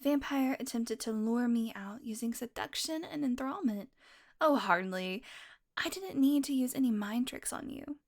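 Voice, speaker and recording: A woman's voice: on the high side, lively intonation, moderate pace. A woman voicing a playful, predatory female vampire who teases and mocks, sometimes dropping to a whisper. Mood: smug. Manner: playful, mocking, drifts into whispers. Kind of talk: audio roleplay.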